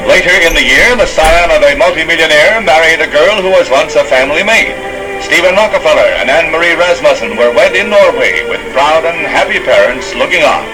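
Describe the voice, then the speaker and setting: A man's voice: fairly high pitch, lively intonation, a little fast, rough recording. An American man reading scripted 1960s newsreel narration in a formal, emphatic announcer delivery. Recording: archival newsreel soundtrack with loud music.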